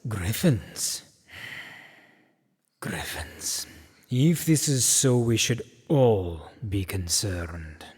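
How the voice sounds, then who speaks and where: A man voicing a grave male pony king in a fan-made radio drama, speaking solemnly and sinking into hushed whispers. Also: on the low side, big pitch swings, drawn-out words, long pauses, husky, full character voice. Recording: long hall reverb.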